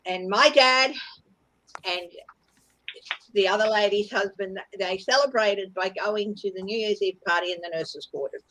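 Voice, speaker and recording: A woman's voice: mid-range voice, measured pace, a bit bright, a little hiss or hum, rough recording. An Australian woman in her fifties, a tarot reader chatting in a group video call, telling stories and laughing often. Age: 50s. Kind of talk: casual group video chat.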